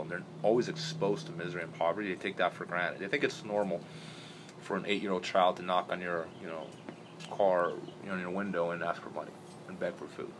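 A man who grew up in Brazil, rambling in a relaxed interview with many restarts and fillers. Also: low voice, noisy background. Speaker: interview guest. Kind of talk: casual interview talk.

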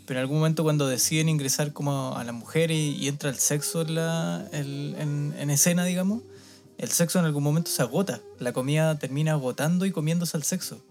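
A man's voice: fairly high pitch, fairly even intonation, fairly quick, darkish tone, faint music behind. Co-host, man with Chilean Spanish accent, thoughtful and hesitant. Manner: thoughtful, asks questions, hesitant. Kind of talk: casual chat.

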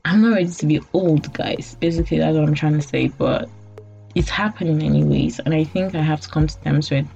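Nigerian woman, late twenties, low-ish voice, rambly and self-mocking, laughs a lot; dull lo-fi recording over faint music. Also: brisk, vocal fry, warm tone. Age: late 20s. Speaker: podcast host. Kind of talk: casual storytelling monologue.

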